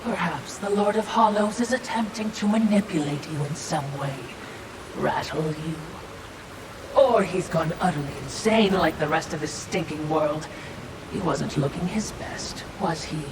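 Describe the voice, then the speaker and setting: Game role: insinuating female spirit sidekick, unhurried, sly, mock-servile, with clear noise behind the voice. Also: deep voice, recorded from a distance. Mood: sly, goading. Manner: sardonic, insinuating, mock-deferential. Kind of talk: video game cutscene dialogue.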